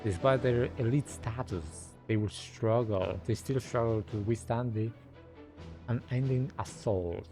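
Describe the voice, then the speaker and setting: A man's voice: medium pitch, a little slow. A man doing casual gameplay commentary as a gaming content creator, sometimes reading game text aloud. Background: game music.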